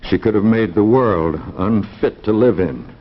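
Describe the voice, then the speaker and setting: An actor playing a grim, grave husband in a 1950s television drama, speaking with deliberate seriousness. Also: male voice, lowish voice. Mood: grim resolve. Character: grim husband confessing he killed his inhuman wife. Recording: muffled 1950s TV recording.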